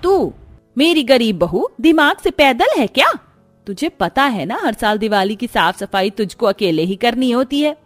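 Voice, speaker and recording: A woman's voice: a little high, very animated, moderate pace, pitched above the performer's own voice. A domineering older mother-in-law acted by a woman from a cartoon household drama. She is greedy and plays favourites, speaking over faint music. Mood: scolding. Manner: coaxing to favourite, scolding to others. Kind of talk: cartoon family drama voice acting.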